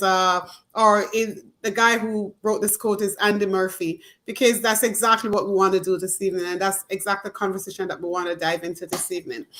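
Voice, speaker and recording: A woman hosting an online talk show in a conversational, deliberate way. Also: medium pitch, fairly bright tone. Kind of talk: conversational hosting, reading out a quote. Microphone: online call.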